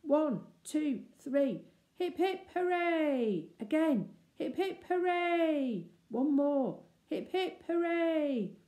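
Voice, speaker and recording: A bold young boy from a children's storybook, acted by a woman reader, boastful and excited. The voice is raised high and rallying, with a soft-edged tone in an echoey room. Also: extremely slow, exaggerated voice. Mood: rallying, fierce. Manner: excited, declamatory, bellowing cheers and commands.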